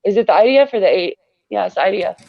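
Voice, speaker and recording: A woman calling into a casual livestream chat, halting and heavy with fillers. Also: medium pitch, animated, gravelly, dry recording, dull audio. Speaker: livestream guest.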